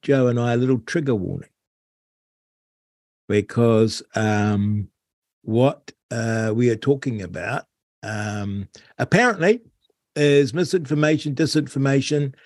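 A man's voice: medium pitch, unhurried, darkish tone, dry recording. A New man hosting an interview, talking casually and wryly, stretching words for emphasis. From New Zealand. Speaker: host.

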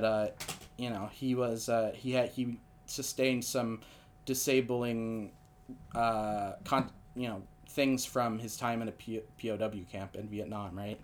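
A man with a nasal voice hosts a casual comedy podcast, spinning absurd tall tales in a deadpan, rambling way. His pitch sits in the middle range, and he speaks into a podcast microphone. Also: slight background noise. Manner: rambling, deadpan absurd storytelling with many fillers. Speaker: podcast host.

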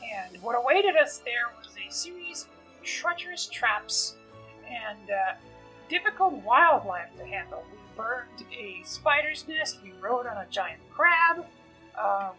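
A woman's voice: on the high side, sharp tone, low-quality recording. A woman player in a tabletop game, casually recapping the last session in a rambling, hesitant way. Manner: rambling, hesitant, many fillers.

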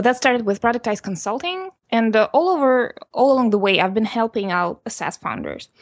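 A woman in her twenties from Russia with a Russian accent, answering interview questions in a steady, businesslike way with soft "mm" pauses. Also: mid-range voice, dry recording. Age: 20s. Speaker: guest, designer and author. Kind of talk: interview answers over a call.

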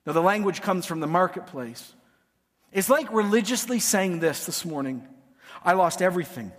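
Guy preaching, pretty high voice, emphatic w/ dramatic pauses, big echoey hall. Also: lively intonation. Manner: emphatic, dramatic pauses, swings from hushed to forceful. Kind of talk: sermon, expounding scripture with dramatized paraphrase. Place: large reverberant hall, preaching to a congregation. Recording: long hall reverb.

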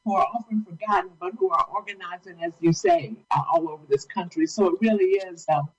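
A woman speaking as a guest on a labor radio show, answering at a measured pace and repeating words as she gathers her thoughts. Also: on the low side, slightly rough, lo-fi sound.

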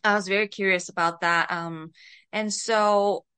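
A middle-aged woman with a Bulgarian accent answers interview questions casually. Her voice is bright and smooth. She speaks slowly and hesitantly, in a giggly manner. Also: medium pitch, fairly even intonation. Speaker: podcast guest, author and writing coach.

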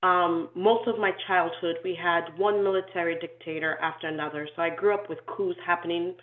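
A woman's voice: on the low side, fairly even intonation. Woman on a tinny, echoey call. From Nigeria. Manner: thoughtful, measured, with frequent ums and restarts. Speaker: inclusion and diversity consultant, guest. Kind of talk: reflective interview storytelling.

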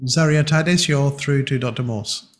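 A man introduces callers on a call-in show, halting and filling his pauses. He sounds set back from the microphone, and his pitch is middle-range. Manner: halting, filler-heavy introductions.

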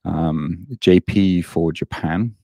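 A very low-voiced, mellow British man in middle age, rambling along in relaxed podcast talk. He fills his sentences with ums and uhs and pokes fun at himself. The recording is close and dry, with no room sound. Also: slow, dry recording. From Britain. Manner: self-deprecating, rambling with many fillers. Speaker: guest, online fitness coach. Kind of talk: casual podcast interview.

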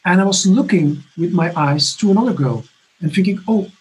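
A man in his fifties from Belgium giving a personal recovery testimony from his apartment, halting and earnest with many restarts. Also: high voice, a little mellow, rough recording. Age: 50s. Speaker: recovery conference speaker. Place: home apartment.

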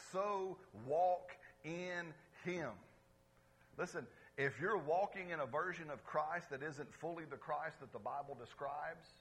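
Male pastor, fairly high, bright and husky; animated sermon, calls on the congregation. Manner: swings between loud exclamations and quiet asides. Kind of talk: lively conversational sermon. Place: church service before a congregation.

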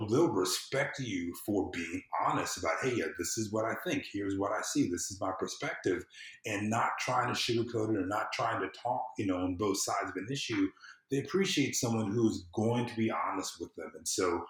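A man from the US South talking conversationally as an interview guest, in an informal, earnest, halting way with frequent restarts. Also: fairly low pitch, reverberant, poor audio. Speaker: podcast guest, civic consultant and former candidate. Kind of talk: conversational interview, personal storytelling.

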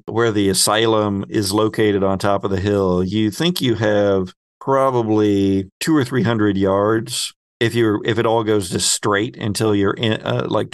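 A man narrates a tabletop role-playing game as its game master. His voice is low and gravelly, and he takes his time, setting out each scene descriptively. The sound is close and dry. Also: no echo.

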